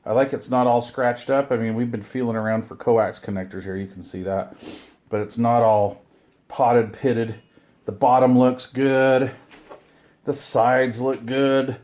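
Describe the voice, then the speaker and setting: A man's voice: medium pitch, little pitch movement, unhurried, a little mellow. A middle-aged man chatting casually as he hosts an unboxing video, rambling along with a self-deprecating, grumbling streak. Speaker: video host unboxing radio gear. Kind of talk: casual unboxing chat to camera.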